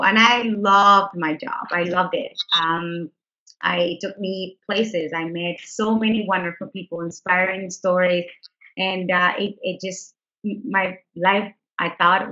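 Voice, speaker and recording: Bright-voiced woman on a lo-fi call. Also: fairly low pitch. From Canada. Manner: chatty, many fillers and restarts. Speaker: co-founder presenting on a webinar. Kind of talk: casual self-introduction. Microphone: video call. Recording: lo-fi online call audio.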